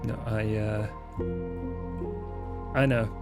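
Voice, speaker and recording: A man's voice: on the low side, level tone, drawn-out words, vocal fry, over loud music, full character voice. A man playing a casual young fledgling vampire, slangy and offhand, with hesitant phrasing. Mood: sincere, uneasy.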